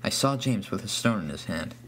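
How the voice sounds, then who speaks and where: A man's voice: lowish voice, expressive, brisk, a bit bright, a little hiss or hum. A man reading a scripted first-person horror story as its narrator.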